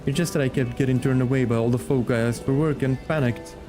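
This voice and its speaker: A man's voice: mid-range voice, steady tone, fast, music in the background, close to an everyday voice. A man voicing a boastful, shifty male axeman mercenary from a game, blustering and stammering. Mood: pleading, sheepish. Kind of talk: reading game dialogue aloud in character.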